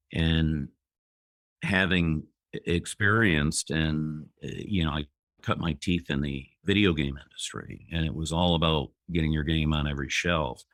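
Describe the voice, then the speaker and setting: A man in his fifties, a guest entrepreneur on an interview podcast, speaking in a measured way with pauses. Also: very deep voice, no room sound, clean studio sound. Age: 50s.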